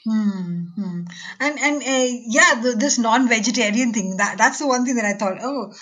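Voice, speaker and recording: A woman interviewer with a fairly high, breathy voice. She keeps the talk casual and fills the gaps with soft murmurs of agreement. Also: moderate pace. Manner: chatty, with many short backchannel murmurs. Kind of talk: conversational interview.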